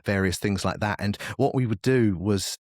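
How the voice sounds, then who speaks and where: A man's voice: lowish voice, moderate pace, darkish tone, no echo, clean studio sound. A man talking as a podcast guest, explaining things informally and thinking aloud in casual conversation. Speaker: podcast guest, media industry professional. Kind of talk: casual interview chat.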